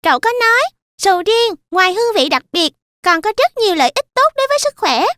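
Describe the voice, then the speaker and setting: An inquisitive small child in a kids' cartoon. The voice is tiny and piercingly high, bright and recorded dry and close. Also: female voice, sing-song, fast, dry recording, heavily acted. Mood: curious. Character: curious, teasing small child superhero.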